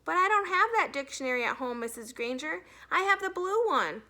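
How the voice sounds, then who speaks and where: Woman playing a cheeky schoolboy, high and bright. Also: animated, fairly quick, exaggerated voice. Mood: protesting. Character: schoolboy Nick, cheeky and earnest, stalling for time.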